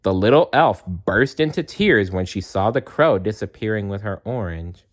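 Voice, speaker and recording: A man reading a children's story aloud in an expressive narrating style. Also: fairly low pitch, normal speed, lo-fi sound. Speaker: storybook reader.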